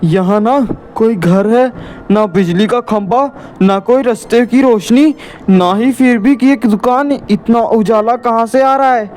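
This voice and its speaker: A man's voice: extremely high pitch, a little slow, a little mellow, a little hiss or hum, pitched above the performer's own voice. A man voicing a timid, anxious village boy in a horror cartoon story, fearful and pleading. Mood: alarmed.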